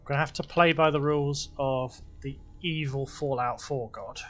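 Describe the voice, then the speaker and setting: A man in a middle pitch talks through his gameplay in a relaxed, offhand way, half to himself. His voice is a little croaky. Keyboard clicks and typing sound behind him. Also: normal speed, slight background noise. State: slightly croaky voice. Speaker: gaming video host. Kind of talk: casual gameplay commentary.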